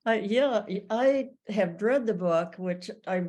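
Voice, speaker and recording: A woman in an audience giving reflective feedback in a measured, earnest way. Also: medium pitch, darkish tone. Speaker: audience member.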